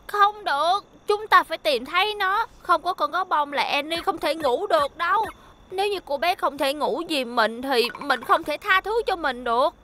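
A woman's voice: sits high, normal speed, bright, forceful tone, a little hiss or hum. A woman voicing a young, sincere talking vehicle in a dubbed children's cartoon. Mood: worried.

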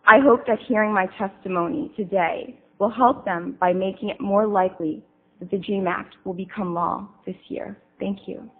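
A woman's voice: mid-range voice, fairly even intonation, normal speed. A young woman, a student witness, earnestly reading prepared testimony at a hearing. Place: congressional hearing room. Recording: tinny lo-fi archive recording.

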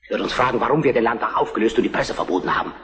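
A stern Prussian minister-president played by a male actor from a historical radio play. His voice sits at a middle pitch. He declaims with emphasis, defiant and fiercely loyal to his crown. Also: racing, a bit bright, natural voice. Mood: grave, urgent.

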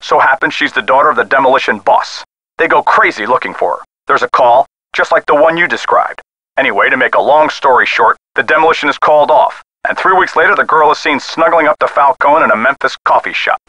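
An actor voicing a weary, skeptical American police sergeant in a video game, brusque, folksy and condescending, as if on a telephone call. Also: male voice, medium pitch, brisk, sharp tone, no echo. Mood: cynical. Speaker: police missing-persons officer.

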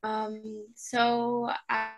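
A young woman from Italy, a student, introducing herself softly and hesitantly on a video call. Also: fairly high pitch, monotone, extremely slow, over music. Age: young adult.

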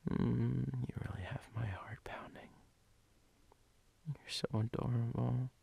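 A man playing a sleepy, teasing, doting boyfriend in an ASMR role-play, husky and breathy, often dropping to a whisper in an intimate, halting way. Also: mid-range voice, steady tone, warm tone, full character voice.

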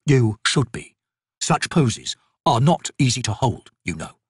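Man playing a slow deadpan warrior. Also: medium pitch, breathy edge, no echo, clean studio sound. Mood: smug, deadpan.

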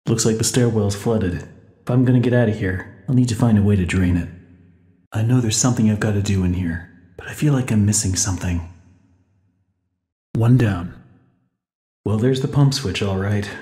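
A man's voice: lowish voice, moderate pace, pauses often, dark tone, lightly acted. An actor playing a weary, wary American man exploring a haunted cartoon studio in a video game, muttering his scripted lines in an understated way. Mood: calm, musing. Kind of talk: scripted game character dialogue. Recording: echoey game audio with long reverb.

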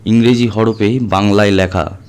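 A man's voice: fairly low pitch, steady tone, unhurried, a little mellow, slight background noise. A man reading a horror audio story as a narrator, in a dramatic scripted style. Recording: music under the voice. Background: background music.

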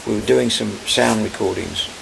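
Older man, gravel in the voice, lowish, rambling film veteran interview on a rural porch, heavy outdoor noise. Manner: rambling, halting, searching for names. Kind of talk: casual reminiscing interview. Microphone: camera mic, medium distance. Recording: loud outdoor background noise.

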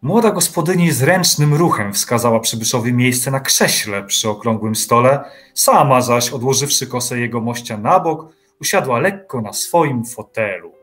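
A man narrating an audio drama in an even, measured literary reading. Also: medium pitch, faint music behind.

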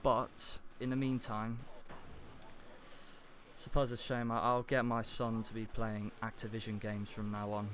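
A man calling customer service with a complaint, speaking in a deadpan, deliberate and stiffly formal manner. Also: lowish voice. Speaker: prank caller posing as customer. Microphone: computer internet call. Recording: internet call with clear background noise.

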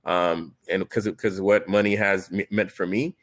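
Low-voiced man from Haiti, earnest. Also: steady tone, unhurried. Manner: reflective, earnest, many fillers and restarts. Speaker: panelist. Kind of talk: personal storytelling on a video call. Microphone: video call.

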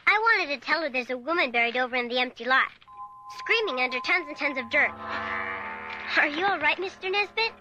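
Young girl, high-pitched, earnest, persistent, exclamatory, radio drama, faint music behind. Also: expressive, a little fast, rough recording. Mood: polite, persistent. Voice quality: youthful. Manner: exclamatory, plain-spoken.

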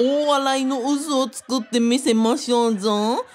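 Woman as genial cult founder, flowery polite talk, highish voice, radio drama style. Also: steady tone, measured pace, a little mellow. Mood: encouraging, boastful. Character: genial, flattering cult founder who performs comic stories.

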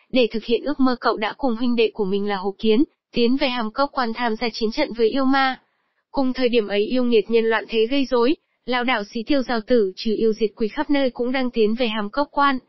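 A woman's voice: on the high side, no room sound, dull audio. A woman reading a scripted promotional narration as a channel narrator. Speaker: channel narrator introducing an animated series.

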